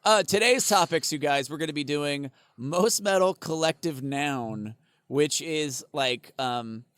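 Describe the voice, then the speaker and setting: A man's voice: a little high, a little slow, fairly bright tone, clean tone, dry recording. A man co-hosting a comedy podcast with casual banter and crude, irreverent jokes. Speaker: podcast co-host.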